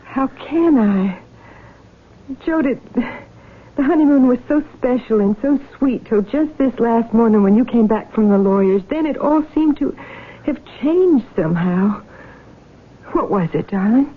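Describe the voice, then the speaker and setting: A fairly high-voiced young wife in a radio drama, tender and worried. Her voice comes through a thin broadcast with faint noise. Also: expressive, measured pace. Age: young adult. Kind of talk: 1970s radio drama acting. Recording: noisy, narrow old radio broadcast.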